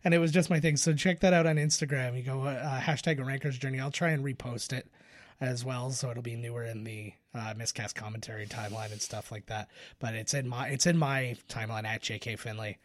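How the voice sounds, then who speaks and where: A man co-hosting a podcast, giving casual film commentary in a halting, self-correcting way. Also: medium pitch, steady tone, no echo. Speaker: podcast co-host.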